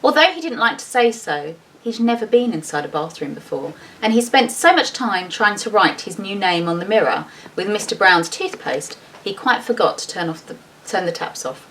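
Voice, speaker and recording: A woman's voice: medium pitch, big pitch swings, normal speed, hardly any pauses, a bit bright. A woman reading a storybook to children expressively, with chatty, informal asides as she shows the pictures. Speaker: school teacher reading a storybook aloud. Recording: distant mic with faint background noise.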